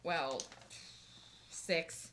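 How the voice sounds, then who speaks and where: A woman's voice: extremely slow, fairly bright tone, a little hiss or hum. A woman chatting casually while demonstrating and playing a tabletop card game. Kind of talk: casual chat during tabletop game play.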